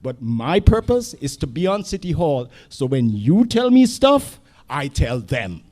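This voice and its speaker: A man's voice: a little high, big pitch swings, measured pace, darkish tone. A man giving a campaign speech at a community meeting in short, punchy phrases. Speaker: council candidate. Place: community hall.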